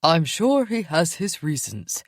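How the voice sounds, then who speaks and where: A woman's voice: deep voice, sing-song, unhurried, dry recording, studio quality, heavily acted. Woman voicing an earnest older male wolf. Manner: earnest, arguing. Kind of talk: audiobook character voice.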